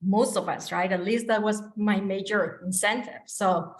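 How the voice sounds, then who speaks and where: A woman's voice: medium pitch, steady tone, moderate pace. Woman; earnest, light laughs; echoey room on a call. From Costa Rica. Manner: earnest, laughs between phrases. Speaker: guest sharing her story.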